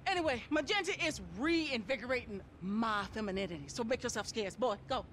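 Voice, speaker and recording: A woman's voice: fairly high pitch, expressive, a little fast, a bit bright, a little hiss or hum. An actress playing a bossy, self-absorbed older woman in a video game cutscene. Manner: bossy, dramatic.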